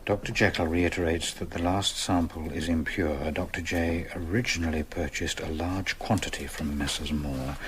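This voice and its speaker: Deep-voiced, grave, commanding gentleman. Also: steady tone, moderate pace, hardly any pauses. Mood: grave, questioning. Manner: formal, commanding. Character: grave, determined gentleman investigating his friend's fate. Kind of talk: radio drama acting.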